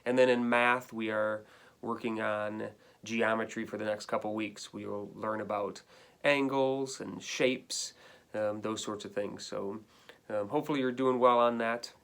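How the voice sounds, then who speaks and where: A man's voice: on the low side, fairly even intonation, measured pace, creaky, fairly bright tone. Man teacher talking plainly to pupils. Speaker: elementary school teacher. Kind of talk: informal remote-learning announcement to pupils.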